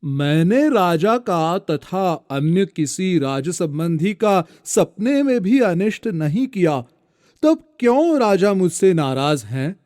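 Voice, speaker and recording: A man voicing a dignified, diplomatic townsman in an animated storybook tale, earnest and formally courteous. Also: high voice, slow pace, no echo, clean studio sound, exaggerated voice. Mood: troubled. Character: dignified wealthy townsman, wronged but diplomatic.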